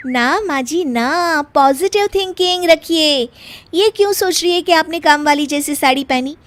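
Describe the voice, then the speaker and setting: A woman's voice: high voice, a little slow, fairly bright tone, a little hiss or hum, voice pushed up. A woman voicing a breezy, defiant young shopaholic daughter-in-law in a theatrical cartoon style. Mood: cheeky. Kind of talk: Hindi cartoon story acting.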